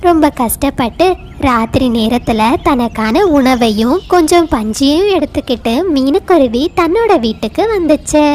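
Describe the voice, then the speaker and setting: A woman's voice: high voice, lively intonation, moderate pace, a little mellow, slight background noise. A woman narrating a scripted moral story for children.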